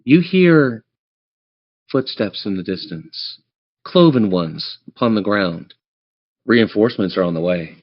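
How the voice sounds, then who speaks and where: A man's voice: lowish voice, lively intonation, a little slow, pauses often, highs cut off. A man running a tabletop game as game master, narrating scenes descriptively and speaking straight to his players. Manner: descriptive, addresses players directly.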